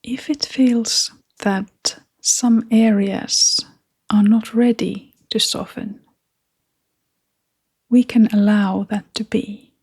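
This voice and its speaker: A woman leading a guided meditation in a soft, breathy, half-whispered voice, gently and with long pauses. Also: mid-range voice, steady tone.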